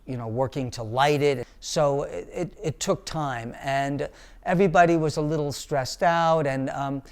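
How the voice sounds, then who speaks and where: Male director, interview; unhurried and hesitant, clean resonant tone. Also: medium pitch, clear, resonant tone. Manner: hesitant, many ums and uhs. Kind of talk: casual interview talk.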